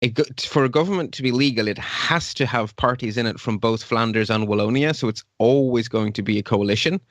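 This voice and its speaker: A man's voice: medium pitch, fairly quick, dry recording. A man from Belgium co-hosting a podcast, explaining current affairs in a wry, dry, conversational way. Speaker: podcast co-host. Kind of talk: casual current-affairs chat.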